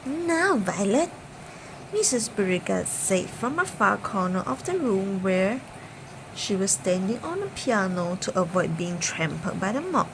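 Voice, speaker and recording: A woman reading a children's bedtime story aloud in an expressive narrating style. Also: medium pitch, moderate pace. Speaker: storybook reader. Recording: clear background noise.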